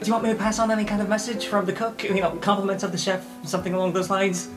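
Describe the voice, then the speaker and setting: An eager shapeshifter posing as a tavern waiter, acted out by a game player. The voice is mid-pitched and gushes with excitement in a fantasy roleplay. Music plays clearly behind it. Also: racing, close to an everyday voice. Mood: excited, eager. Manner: gushing, excitable.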